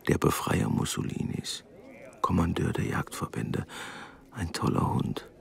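A man's voice: deep voice, steady tone, measured pace, vocal fry, full character voice. An actor playing a reserved, uneasy middle-aged engineer father in a German radio drama, hesitant and subdued. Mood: awed. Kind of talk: German radio drama acting with inner monologue. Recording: studio radio drama, faint music underneath.

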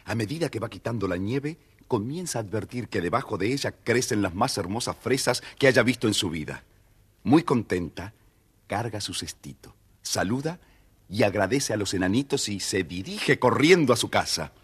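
A man narrating a children's storybook in an expressive, theatrical way. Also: fairly low pitch, normal speed, breathy edge.

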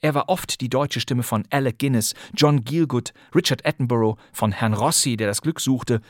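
A man hosting a radio-drama podcast, narrating a script in a lively, chatty way and speaking straight to the listener. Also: medium pitch, normal speed, no echo, clean studio sound. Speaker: podcast host. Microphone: close studio microphone.

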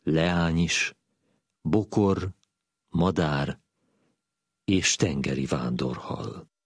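A man's voice: very deep voice, husky, no echo, studio quality. A man voicing a solemn ancient Greek philosopher-poet in a grave, declamatory dramatic recitation of verse.

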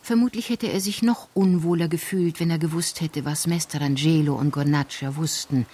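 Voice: mid-range voice, dark tone, breathy edge. A narrator reading scripted narration for a radio crime drama.

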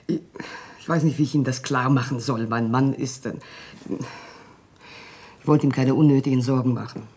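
Distraught wife in radio crime drama: husky female voice, halting, agitated, evasive. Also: very deep voice, fairly even intonation, darkish tone. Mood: nervous, evasive. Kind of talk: German radio play acting, dramatic dialogue.

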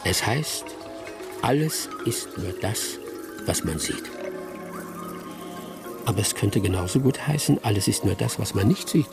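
An actor playing a wry, musing old village hunter who narrates a radio drama. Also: male voice, on the low side, expressive, measured pace, gravelly, long pauses, over music.

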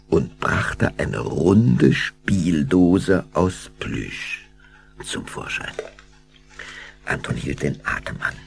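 A man narrating a German children's audio drama as a scripted storyteller. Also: deep voice. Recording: clear background hum and noise.

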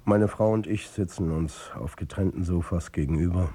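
An actor playing an embattled football coach who narrates his own downfall in a radio drama, tersely and bitterly, with a husky voice. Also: low-pitched, moderate pace, mellow. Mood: wry, tense. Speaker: first-person narrator.

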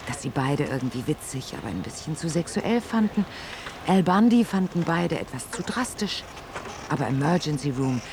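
An actor narrating a radio drama in an observational, detailed storytelling way. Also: male voice, on the high side. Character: storytelling male narrator describing an airport worker's life. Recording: clear ambient noise behind.

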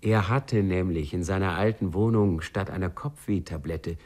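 An actor as a wry, knowing narrator, telling the story in a measured literary manner in a 1950s radio play. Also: male voice, sits low, darkish tone. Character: wry, knowing male storyteller addressing listeners. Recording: 1950s radio recording with music.

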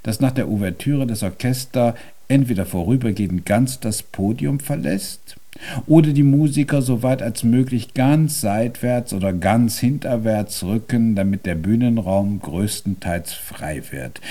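Dark-voiced, imperious composer. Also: male voice, medium pitch, animated. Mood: commanding. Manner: formal, deliberate, pompous, commanding. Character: imperious, stubborn composer-pianist of old Vienna, a man. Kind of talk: German radio drama acting.

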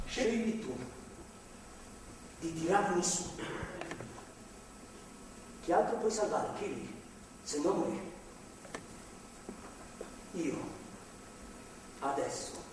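High-voiced, desperate husband in echoey hall. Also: male voice, full character voice. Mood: hushed, insistent pleading. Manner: halting, imploring, swinging from hushed to shouting. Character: desperate born-again reformed-alcoholic husband, pleading and volatile. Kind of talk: theatrical stage acting, impassioned monologue. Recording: echoey theatre hall, distant mic, faint noise.

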